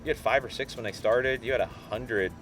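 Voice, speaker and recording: A man's voice: mid-range voice, a little fast, a bit bright, faint music behind. A man in an informal interview, explaining local soccer history in a conversational way.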